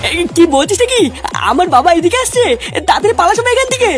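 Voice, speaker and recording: A woman's voice: very high-pitched, sing-song, fast talker. A woman voicing a bossy, boastful young man who cooks for his gang in a comic cartoon, brash and crude. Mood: panicked. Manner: brash, crude, ordering others about. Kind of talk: comic Bengali cartoon dialogue. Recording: loud music over dialogue.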